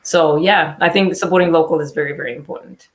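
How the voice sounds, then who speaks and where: A woman's voice: deep voice, fast talker. Earnest woman on a call. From Zimbabwe. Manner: chatty, earnest, laughs easily. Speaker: panelist, small business owner. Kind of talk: casual panel chat.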